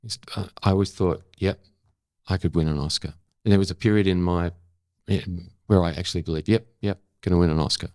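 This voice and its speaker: A seventy-year-old Australian man talking reflectively as an interview guest, thoughtful and halting, repeating words for emphasis. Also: sits low, fairly even intonation, a little mellow, dry recording. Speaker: interview guest, former journalist and actor.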